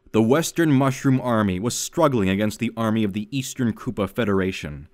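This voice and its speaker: Low-voiced man in casual podcast chat. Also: moderate pace, a little mellow, dry recording. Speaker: podcast host. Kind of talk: casual chat and reading old fan fiction aloud.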